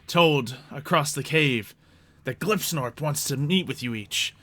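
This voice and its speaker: Male game master for fantasy tabletop: bright tone, slow delivery, storytelling to players. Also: a little high. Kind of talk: narrating a tabletop fantasy roleplay.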